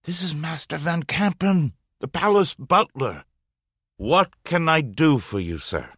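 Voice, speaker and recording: A woman's voice: low-pitched, animated, unhurried, fairly bright tone, husky, no room sound, studio quality, voice pushed down, exaggerated voice. A woman voicing a jovial middle-aged male master baker, speaking in a hearty, eager way. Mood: hasty.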